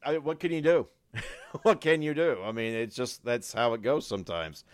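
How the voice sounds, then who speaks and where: A man co-hosting a casual football podcast, his voice raspy from a cold, often stumbling over and repeating words and laughing. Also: medium pitch, expressive, normal speed, dry recording, studio quality. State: sick with a cold. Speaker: podcast co-host.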